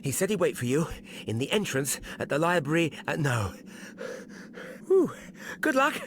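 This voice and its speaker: An actor playing an eager, courteous messenger in a fantasy video game. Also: male voice, on the high side, huge pitch swings, moderate pace, a bit bright, a little hiss or hum. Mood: eager, breezy. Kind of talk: video game character acting.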